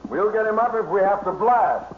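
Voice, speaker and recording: An actor playing an obliging, mildly sarcastic gentleman in old-time radio comedy, on a muffled recording. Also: high voice, expressive, normal speed, a little hiss or hum. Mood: dry, obliging. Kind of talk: 1930s-40s radio comedy acting.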